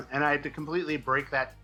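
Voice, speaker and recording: A man answering interview questions in a casual, halting, self-deprecating way, thinking out loud as he rambles along. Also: fairly high pitch, steady tone, faint music behind. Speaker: comic book writer being interviewed.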